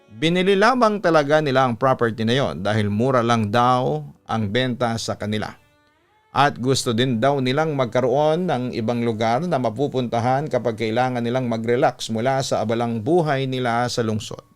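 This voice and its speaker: A man with a Filipino accent narrating a scripted story like a radio announcer, with measured, deliberate, dramatic phrasing. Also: medium pitch. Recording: music bed under the voice. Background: background music.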